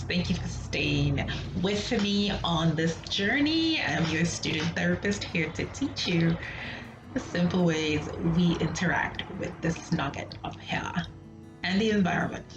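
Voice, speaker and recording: A woman's voice: lowish voice, lively intonation, unhurried. A woman, a student therapist hosting her channel, talking casually and rhetorically to camera and checking in with "okay?". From Nigeria. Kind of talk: casual educational talk to camera. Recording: roomy, slightly dull sound with music behind.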